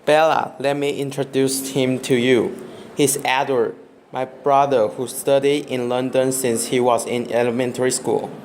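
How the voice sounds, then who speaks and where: A man's voice: mid-range voice, flat delivery, unhurried, darkish tone, close to an everyday voice. A man playing a friendly young man, a younger brother in love, with simple scripted delivery in an amateur radio play. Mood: excited. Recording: faint background noise and ambience.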